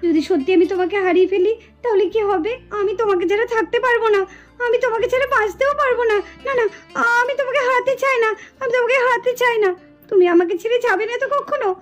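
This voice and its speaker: Lady putting on a super high coy young girlfriend doll, anxious n teasing, music behind. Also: fast. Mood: anxious, pleading. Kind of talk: puppet doll drama.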